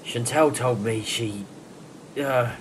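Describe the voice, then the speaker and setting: A man playing an excitable, loyal friend in an audio drama, talking in an informal, blokey way. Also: medium pitch, slow pace, slight background noise, lightly acted, poor audio. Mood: relieved. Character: excitable, loyal male friend back from the dead.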